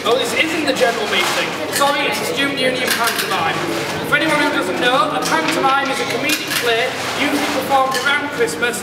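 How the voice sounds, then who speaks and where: A very high-pitched man announces a comic stage show in a mock-grand manner. He is far from the microphone in an echoey hall. Loud noise sits around his voice. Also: brisk. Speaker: pantomime presenter. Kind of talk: comic scripted stage introduction. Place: large hall, live stage performance, recorded from afar.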